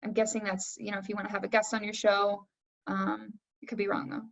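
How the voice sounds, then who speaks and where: A woman's voice: mid-range voice, monotone, fairly quick, vocal fry, a bit bright. A woman casually moderating a panel and putting questions to guests over a lo-fi video call. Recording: dull, lo-fi video call audio.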